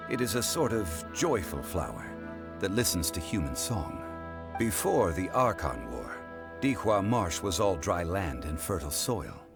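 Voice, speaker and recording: Learned game gentleman: low voice, expressive intonation, formal, composed, measured explanations. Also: full character voice. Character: refined, knowledgeable, composed gentleman scholar of old traditions. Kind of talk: video game voice acting. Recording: music behind the voice.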